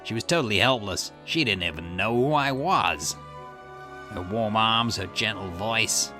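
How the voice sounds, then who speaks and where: Low-voiced bitter obsessed guy snarling back, a man reading him out, game music behind. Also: a little slow, natural voice. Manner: snarling, defensive, rising to outbursts. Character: bitter grieving middle-aged man, obsessive and ruthless. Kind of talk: reading game dialogue aloud.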